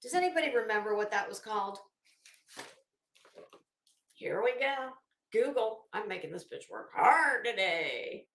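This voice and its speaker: A woman's voice: mid-range voice, expressive, unhurried, pauses often, fairly bright tone. A middle-aged woman giving casual livestream commentary in a brash, opinionated, sweary way, with dramatic emphasis. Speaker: livestream host. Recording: echoey room.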